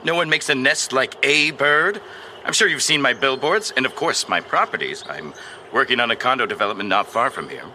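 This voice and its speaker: A man's voice: medium pitch, expressive, fairly quick, sharp tone, a little hiss or hum. An actor playing a pushy, boastful property developer in an audio drama, with a salesman's overfamiliar manner. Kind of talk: audio fiction drama acting.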